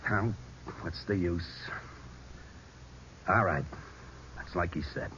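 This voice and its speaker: An American actor playing a cocky, evasive hoodlum under questioning in a 1940s radio drama, brash and slangy. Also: male voice, low voice, slow. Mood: defeated. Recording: muffled, noisy old radio recording.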